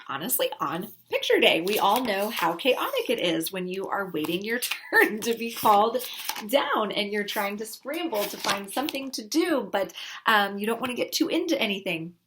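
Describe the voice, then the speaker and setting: A woman's voice: medium pitch, very animated, normal speed. A woman casually presenting book recommendations in an enthusiastic, gushing way. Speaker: children's book reviewer. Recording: clearly noisy recording with clicks.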